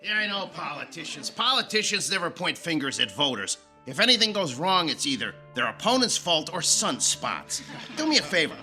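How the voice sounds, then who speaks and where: An actor playing a brusque, sardonic male news editor in a radio drama, brisk and commanding. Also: fairly high pitch, sing-song, sharp tone, faint music behind, heavily acted. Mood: impatient.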